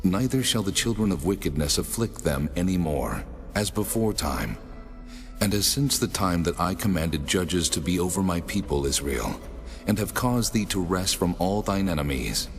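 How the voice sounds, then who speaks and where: A man voicing the Lord God in a dramatized scripture reading, solemn, measured and authoritative. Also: sits low, animated, vocal fry. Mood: solemn, commanding. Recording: long cavernous reverb, music underneath. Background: music.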